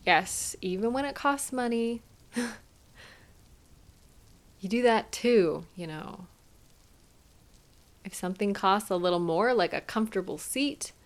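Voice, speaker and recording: A woman playing a tender, doting girlfriend who soothes and gently coaxes her partner in an intimate one-sided roleplay. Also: a little high. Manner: soothing, affectionate, gently coaxing, softly playful.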